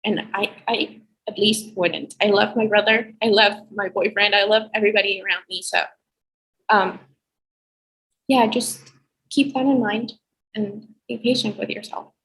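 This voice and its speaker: A woman's voice: mid-range voice, fairly even intonation, measured pace, fairly bright tone, poor audio. Young woman on a video call, earnest and heartfelt, talking faith and healing. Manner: heartfelt, reflective, speaks of her faith. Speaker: guest. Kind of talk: earnest faith-based advice.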